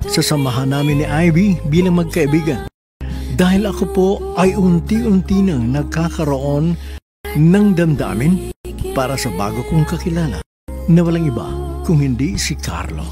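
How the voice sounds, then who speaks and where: A woman's voice: sits low, lively intonation, normal speed. An actress playing a wistful young woman narrating her love letter in a radio drama. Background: music.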